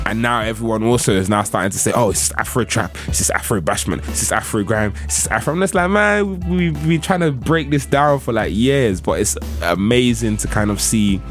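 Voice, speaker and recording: A young man, an MC raised in London by Nigerian parents, talking casually about his music with many fillers. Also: mid-range voice. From Nigerian parents, grew up in London. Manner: relaxed, chatty, fillers and "like". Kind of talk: casual interview talk.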